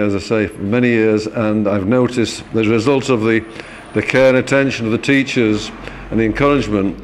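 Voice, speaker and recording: A man with an English accent and a fairly low voice gives an informal testimonial as a student. There is faint ambient noise behind him. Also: darkish tone. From Leeds, England. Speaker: yeshiva student giving a testimonial.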